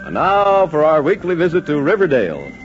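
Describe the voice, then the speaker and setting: Animated 1940s male radio announcer. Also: on the high side, a little fast, soft background music. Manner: emphatic, salesmanlike delivery. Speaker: radio announcer and sponsor pitchman. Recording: muffled, noisy 1940s radio recording.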